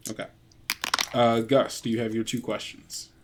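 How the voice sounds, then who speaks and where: A man with a mid-pitched voice runs a tabletop role-playing game. He narrates scenes off the cuff, conversationally, feeling his way through phrases and repeating himself. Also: flat delivery, normal speed. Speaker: game master.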